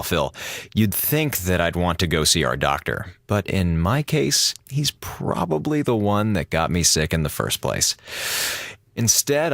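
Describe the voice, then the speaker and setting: Deep-voiced, sardonic American space officer. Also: male voice, dry recording, clean studio sound. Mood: wry, flippant. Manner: sarcastic, flippant, theatrically exasperated. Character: sardonic, grumbling space station communications officer, adult man. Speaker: communications officer. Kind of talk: audio drama monologue, mock radio address to schoolchildren.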